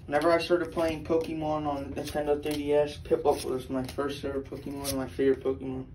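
A man's voice: a little high, completely flat delivery, darkish tone, slight background noise, rough recording. A young man casually listing trading cards as he flips through packs, eager for a good pull. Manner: rattles off card names in a quick list, eager. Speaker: channel co-host. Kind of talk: casual card-opening chat.

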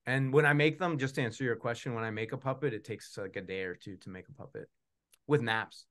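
A middle-aged man with a medium-pitched voice talks casually over a video call from a room at home. He rambles a little and plays down his own know-how as he answers questions. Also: fast, a bit bright. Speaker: puppeteer answering audience questions. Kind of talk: casual chat.

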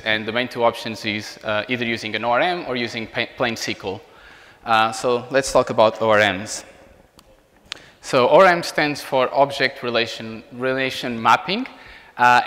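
A man in his thirties with a Portuguese accent, giving an informal technical presentation as a software engineer. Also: mid-range voice. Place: indoor meetup room beside a large screen. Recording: reverberant hall sound.

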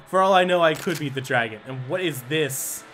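A man giving let's-play commentary, thinking aloud and bursting into excited exclamations. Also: fairly high pitch, unhurried. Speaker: gaming commentator. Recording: game music under the voice. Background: game music.

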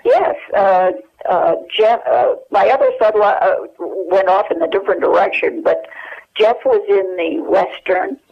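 An American woman in her eighties reminiscing casually and haltingly as an interview guest over the telephone. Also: mid-range voice, animated, vocal fry, slightly rough. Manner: halting, searching for memories. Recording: dull, lo-fi telephone hook-up.

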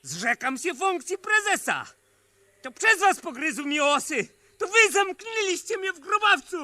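Evasive indignant fugitive, very high voice, piercingly bright, defensive, melodramatic, vintage radio play, dry studio sound. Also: male voice, big pitch swings, a little slow, dry recording, heavily acted. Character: agitated, evasive, indignant man on the run. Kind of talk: 1970s Polish radio play acting.

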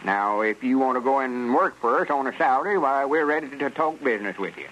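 An actor playing a self-important old country storekeeper in a radio comedy, with a folksy rural Southern drawl. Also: male voice, mid-range voice, expressive, fairly quick, a bit bright, lightly acted. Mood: businesslike. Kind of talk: 1930s-40s radio comedy acting. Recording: noisy vintage radio recording.

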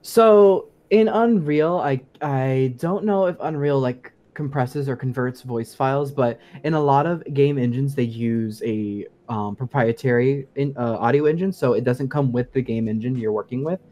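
A man's voice: medium pitch, normal speed, few pauses, dark tone. A man talking casually and in technical detail as a guest co-host on a gaming podcast, in an informal, hedging way. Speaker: podcast guest co-host explaining game sound design.

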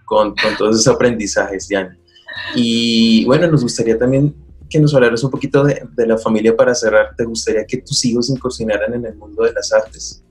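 A man's voice: medium pitch, fairly even intonation, a little fast, soft background music, low-quality recording. A young man hosting an interview over a headset on a video call, asking questions hesitantly with restarts. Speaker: host.

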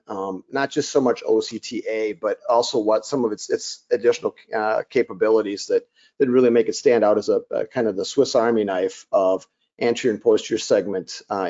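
A middle-aged man from Wisconsin, slightly raspy and froggy, giving a webinar lecture, halting with many fillers and restarts. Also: fairly low pitch, a little mellow. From Wisconsin, USA. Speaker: optometrist lecturer. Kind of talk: webinar lecture over slides. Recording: lo-fi webinar audio.

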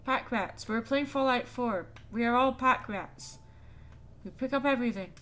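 Bright-voiced woman playing a game. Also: a little high, moderate pace. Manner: chatty, easily startled, exclaims at the game. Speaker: let's play host. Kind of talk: casual gameplay chat.

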